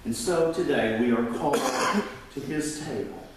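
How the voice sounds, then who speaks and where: Male pastor, 50s, slow and highly animated sermon delivery, heard from afar in a roomy sanctuary. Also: very noisy. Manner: dramatic preaching, swelling up then dropping to near whisper; gestures widely at the pulpit. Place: large church sanctuary. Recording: echoey church from a distance.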